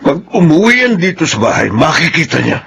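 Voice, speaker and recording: A man's voice: fairly high pitch, sing-song, a little fast, gravelly, fairly bright tone, a little hiss or hum, heavily acted. An actor playing a stern, disappointed father in a Filipino radio drama, with a Filipino accent. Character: stern, disappointed father of two grown sons.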